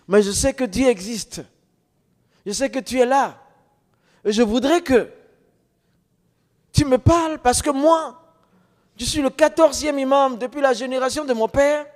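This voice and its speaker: High-voiced man preaching in a reverberant hall. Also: unhurried, pauses often, darkish tone. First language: non-French mother tongue. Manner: emphatic, repetitive, rhetorical questions. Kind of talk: testimony preaching to an audience.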